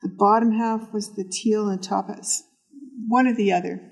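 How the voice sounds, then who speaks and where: A woman's voice: medium pitch, fairly even intonation, normal speed, gravelly, poor audio. A woman co-hosting a yarn shop podcast, chatting with enthusiasm about knitting and asking her co-host lots of questions. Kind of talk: casual chat about knitting.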